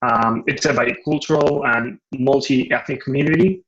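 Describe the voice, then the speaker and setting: A young man with a Cuban Spanish accent talks at a middle pitch, reflecting carefully and pausing to find the right words. He is a native Spanish speaker doing pastoral care work. The audio is slightly muffled and dull. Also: steady tone, fairly bright tone. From Cuba. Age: young adult. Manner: thoughtful, searching for words. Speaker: lay pastoral care worker. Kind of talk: reflective personal interview monologue.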